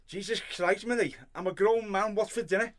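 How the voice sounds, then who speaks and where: A man's voice: high-pitched, fairly even intonation, moderate pace, close to an everyday voice. Man acting as a husband, curt, irritable, evasive, short temper, radio drama.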